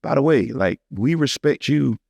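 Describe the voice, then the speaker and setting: A man's voice: medium pitch, lively intonation, normal speed, gravelly, a little mellow, dry recording, studio quality. A man talking casually as a podcast host, emphatic and slangy, often repeating his phrases for stress. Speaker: podcast host and music artist. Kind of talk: casual conversational podcast talk.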